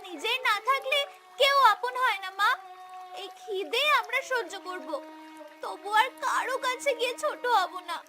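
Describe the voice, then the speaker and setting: Woman voicing a very high, earnest little girl. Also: lively intonation, unhurried, over music, exaggerated voice. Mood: resolute. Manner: plaintive, earnest. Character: eight-year-old poor girl, earnest and resolute.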